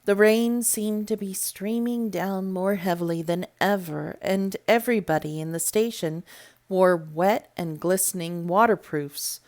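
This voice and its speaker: A woman reading a classic novel aloud as storybook narration, in a deliberate, measured manner. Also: mid-range voice, a little mellow. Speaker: storybook reader. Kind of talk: read-aloud audiobook narration.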